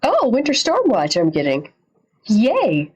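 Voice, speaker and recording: A woman's voice: fairly high pitch, huge pitch swings, slow, a little mellow, slightly rough. A woman livestream host giving casual, slangy reaction commentary, repeating phrases and swearing a little.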